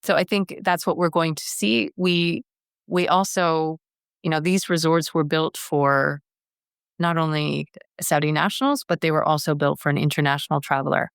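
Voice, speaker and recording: A woman's voice: low voice, steady tone, moderate pace, creaky, clean tone, no echo, clean studio sound. A middle-aged woman from Canada, a guest in an interview, talking earnestly and often restarting her sentences. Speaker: guest, wellness strategy director. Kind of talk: conversational interview.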